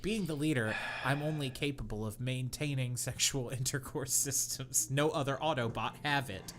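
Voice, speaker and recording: A man co-hosting a comedy podcast, reading bad fanfiction aloud in a deadpan voice that keeps cracking into laughter. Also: medium pitch, normal speed. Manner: reads deadpan, breaks into laughter.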